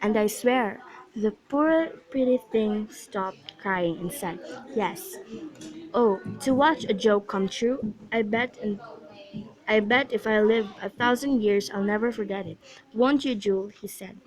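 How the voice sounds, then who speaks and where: A woman's voice: mid-range voice, measured pace, natural voice, rough recording. A woman playing a fond, bawdy old nurse in an amateur radio drama, chatty and rambling as she repeats herself. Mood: amused. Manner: chatty, repetitive storytelling.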